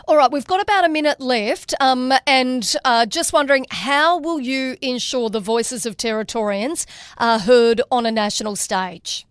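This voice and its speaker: A woman's voice: sits high, normal speed, clear, resonant tone, no echo, studio quality. A woman radio presenter asking a candidate direct questions in a conversational way. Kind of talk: radio interview.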